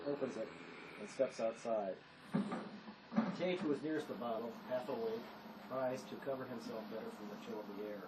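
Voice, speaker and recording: A man narrating a play, reading its stage directions as scripted narration. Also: medium pitch. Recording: noisy amateur recording.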